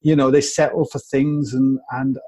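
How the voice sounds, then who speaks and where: A man's voice: medium pitch, flat delivery, normal speed, mellow. A Welsh man in his forties, a guest on a podcast over a video call, reflective and rambling with many restarts. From Wales. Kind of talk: podcast interview.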